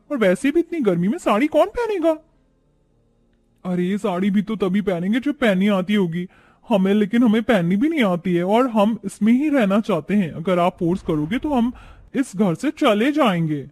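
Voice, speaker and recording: A man voicing a haughty young modern city daughter-in-law, sassy and defiant, mixing in English words, in an animated story. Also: high voice, moderate pace.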